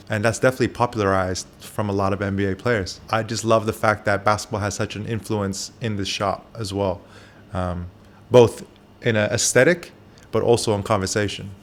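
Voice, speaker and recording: A man who grew up in Japan, a barber, answering interview questions candidly, with soft pauses and frequent fillers. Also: on the low side, a little hiss or hum.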